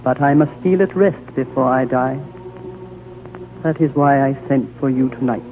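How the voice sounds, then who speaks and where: An actor playing an ancient, wise and gentle high lama with a solemn, fatherly, oratorical manner, in the style of 1940s radio drama. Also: moderate pace, background noise, lightly acted. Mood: grave, tender. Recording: tinny 1940s radio recording over music.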